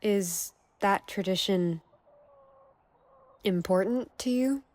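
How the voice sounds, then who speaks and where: A woman's voice: mid-range voice, takes it slowly, a little mellow, lightly acted. A woman playing a practical, tomboyish young mechanic in an audio drama, casual and wry. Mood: checking, uncertain.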